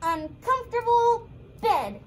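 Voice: very high-pitched, big pitch swings, slow, slight background noise, heavily acted. A young teen performer playing a put-upon, complaining puppet in a comedy skit. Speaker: student puppeteer in a school drama show.

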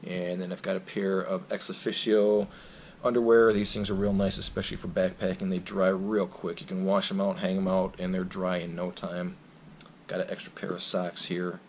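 A man casually walking through his backpacking gear indoors, plain-spoken and relaxed, with frequent fillers. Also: low voice, normal speed, slight background noise. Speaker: backpacking vlogger. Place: indoors, carpeted room.

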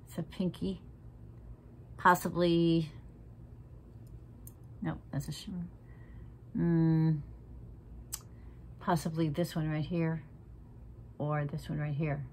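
A woman's voice: low voice, flat delivery, takes it slowly, long pauses, darkish tone, a little hiss or hum. A woman, chatting frankly and feistily while doing her makeup at home, close to the camera, sometimes dropping to a half-whisper. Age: 60s. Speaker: makeup vlogger. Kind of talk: casual makeup tutorial chat. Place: living room at home, indoors. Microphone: camera close in front.

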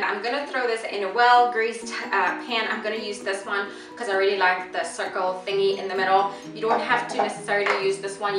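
A chatty young woman in her twenties talks through an easy recipe in her home kitchen. She sounds upbeat and relaxed, laughing easily. The camera stands a few steps away, so her voice comes with a roomy echo and music plays underneath. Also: medium pitch, recorded from a distance. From South Africa. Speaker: cooking vlogger. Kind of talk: casual cooking tutorial. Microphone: camera on tripod at a distance.

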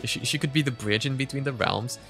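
A man in his twenties giving casual, sweary reaction commentary in a halting way, close on a studio-style mic at home. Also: medium pitch, vocal fry, fairly bright tone, faint music behind. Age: 20s. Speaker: reaction video host. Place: small home room, indoors. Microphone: microphone on boom arm, headphones.

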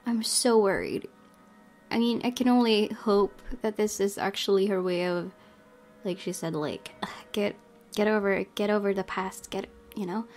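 A woman hosting a gaming video, reading game text aloud and reacting in an expressive, fretful way. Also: mid-range voice, measured pace. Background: background game music.

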